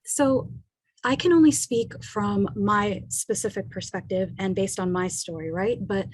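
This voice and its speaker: A woman's voice: medium pitch, unhurried, darkish tone, breathy edge, no echo, low-quality recording. A woman lawyer from the United States, talking casually as an interview guest, thoughtful, with many fillers.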